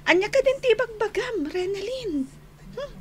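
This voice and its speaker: A woman's voice: extremely high pitch, animated, moderate pace, a crowd in the background. A woman playing a sweet, shy, lovestruck young student in a radio drama, with a Filipino accent. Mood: shy, surprised.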